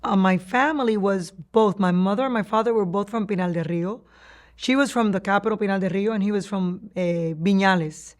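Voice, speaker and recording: A woman's voice: mid-range voice, brisk. Cuban-born woman recalling her past. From Cuba. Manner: reflective storytelling with frequent restarts and laughs. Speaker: interviewee. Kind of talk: oral history interview.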